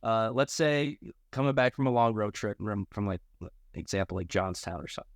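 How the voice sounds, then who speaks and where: Easygoing guy hosting a podcast, chummy interview talk, voice a bit low, dry sound. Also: animated, brisk, no echo. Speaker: podcast host interviewing athletes. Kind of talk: casual interview chat.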